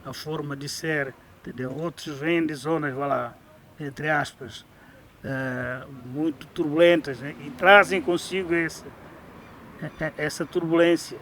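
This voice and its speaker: Guy talking slow and thoughtful outside, a bit higher voice, cars faintly behind. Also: steady tone, a bit bright, slight background noise. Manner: reflective, measured commentary. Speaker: interviewee. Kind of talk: documentary interview.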